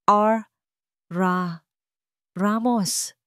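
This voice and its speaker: A woman with a Filipino accent voicing a cautious, commanding eldest brother in a story, hushed, terse and urgent. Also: mid-range voice, fairly even intonation, extremely slow, clean tone, dry recording, studio quality, voice pushed up. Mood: tense. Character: cautious, commanding boy, eldest brother leading his siblings. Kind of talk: dramatic storytelling.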